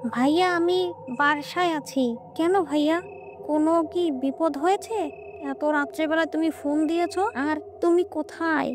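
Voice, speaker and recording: A woman's voice: high-pitched, steady tone, moderate pace, background noise, voice pushed up, lightly acted. A woman voicing a dutiful, earnest younger brother in a cartoon horror story. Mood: concerned.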